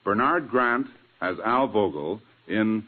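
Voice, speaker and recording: A man's voice: fairly low pitch, expressive, slow. A man, the director of a radio drama, giving a scripted 1940s-style introduction. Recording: tinny vintage radio recording.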